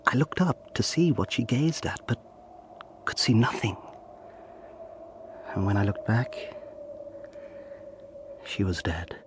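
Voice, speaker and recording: An earnest young lawyer of the eighteenth century, with a lowish voice. He tells his haunted story in a grave, intense audio drama style. There is clear noise behind him. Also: sing-song, slow, long pauses. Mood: solemn, reverent. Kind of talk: dramatic first-person audio drama narration.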